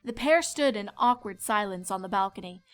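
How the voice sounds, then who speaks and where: A woman's voice: medium pitch, expressive, normal speed, soft background music. A woman reading scripted narration as a storyteller.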